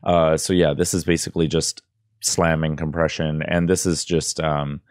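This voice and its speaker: A man's voice: very deep voice, little pitch movement, normal speed, dry recording. A young man hosting a casual audio tutorial, rambling with many fillers and restarts, close to a studio microphone in a small room. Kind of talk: casual screen-recorded tutorial. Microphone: studio microphone close up, headphones on.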